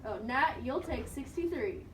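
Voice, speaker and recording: Generous, penniless puppet friend, played by a woman; high register, echoey amateur recording with noise. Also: animated, normal speed. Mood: friendly, obliging. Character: broke, generous puppet friend living in a box. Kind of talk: amateur school puppet show. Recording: echoey room, distant mic, background noise.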